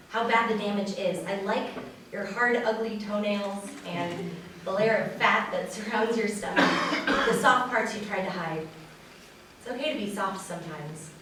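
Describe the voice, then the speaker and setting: A woman recites romantic verse in a reader's theater style. Her voice is mid-pitched and comes from off in a very echoey room, with faint noise. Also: a bit bright. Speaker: wedding reader. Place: large echoey room at a wedding. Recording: echoey hall, distant mic, faint noise.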